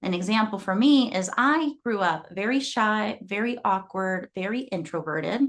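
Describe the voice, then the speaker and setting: A woman from Guatemala, about thirty-something, speaks as a fitness coach in an earnest storytelling way. Her voice sits at an everyday middle pitch for a woman. She moves from one client story to the next in a motivational talk. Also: animated, moderate pace, a bit bright. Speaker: fitness coach and gym owner.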